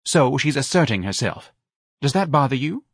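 A man's voice: mid-range voice, very animated, moderate pace, breathy edge, no room sound, studio quality, pitched above the performer's own voice. A man voicing a self-righteous, melodramatic middle-aged wife in an audiobook, nagging and reproachful. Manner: indignant, reproachful, dramatic.

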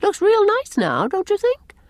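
Woman acting gossipy village spinster, swooping pitch. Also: wildly swooping pitch, unhurried, dry recording, clean studio sound, heavily acted. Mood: tart, emphatic. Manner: emphatic, folksy, tart. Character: brisk, opinionated, gossipy middle-aged village spinster.

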